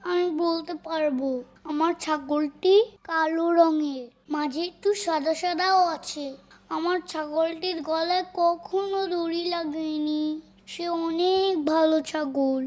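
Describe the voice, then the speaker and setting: A performer playing a gentle, earnest young cartoon boy. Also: very high voice, fairly even intonation, takes it slowly. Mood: plaintive, hopeful. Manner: earnest, plaintive. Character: gentle young boy who loves his goat, tearful. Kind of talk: cartoon voice acting.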